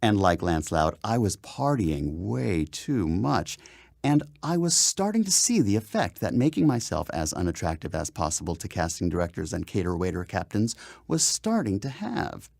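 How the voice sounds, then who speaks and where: A man's voice: deep voice, big pitch swings, moderate pace, creaky, darkish tone, no room sound. A man reading his own memoir aloud as a dramatic first-person narration, with theatrical, emphatic phrasing, close on a studio microphone. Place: voiceover studio.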